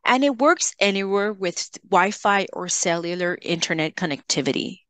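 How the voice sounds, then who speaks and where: A woman software trainer giving an instructional screen-share tutorial, explaining in a measured way and addressing learners directly. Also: lowish voice, animated, dry recording.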